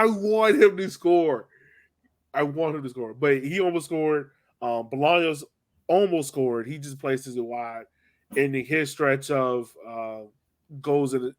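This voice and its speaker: A man's voice: medium pitch, a little slow, fairly bright tone. A man in casual sports podcast chat, rambling along with lots of "like" and "you know". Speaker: soccer podcast host.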